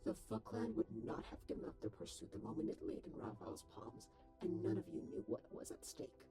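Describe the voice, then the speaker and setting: Robot caretaker for animated comic dub, actor-performed: clinical, lecturing, creaky, very animated delivery. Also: mid-range voice, brisk, over music. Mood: solemn, gentle. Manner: formal, precise, lecturing. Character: stern clinical robot caretaker, wordy, exasperated, secretly soft-hearted.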